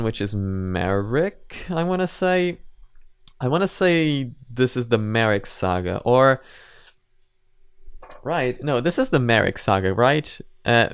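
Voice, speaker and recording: A man's voice: medium pitch, clear, resonant tone, no room sound, clean studio sound. A man giving casual, off-the-cuff reaction commentary, thinking aloud in a hesitant, rambling way. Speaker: host of anime reaction videos.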